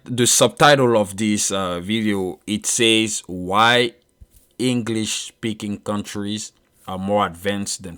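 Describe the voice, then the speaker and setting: Solo commentator, thirties, Ivorian French accent; low-pitched man speaking slowly, hesitant with fillers and restarts. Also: sharp tone, smooth. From Ivory Coast. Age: 30s. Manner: measured, with frequent uh fillers and restarts. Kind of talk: solo monologue on culture.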